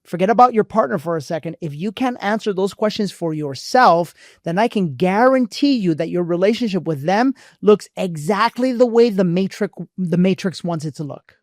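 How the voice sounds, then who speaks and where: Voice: medium pitch, lively intonation, normal speed, hardly any pauses, darkish tone, no echo, studio quality. A podcast co-host who speaks bluntly and emphatically, swears freely and uses a preachy, motivational delivery. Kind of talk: casual relationship podcast chat.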